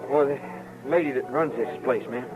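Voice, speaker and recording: A man's voice: medium pitch, normal speed, close to an everyday voice. A man playing an earnest, polite young farm boy with a rural Western American accent in a 1950s radio western. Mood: flustered. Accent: rural American West. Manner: hesitant, folksy, respectful. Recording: muffled old radio broadcast, background bustle.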